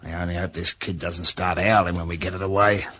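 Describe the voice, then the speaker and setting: An actor in an old-time radio drama playing a bossy, scheming, scornful kidnapper with an Australian accent and a husky voice. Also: male voice, sits low, level tone, fairly quick, pushed and bright, slight background noise.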